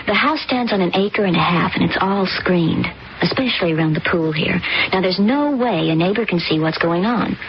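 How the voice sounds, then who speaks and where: A brisk, bossy young daughter. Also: female voice, on the low side, expressive. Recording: dull old radio recording with hiss.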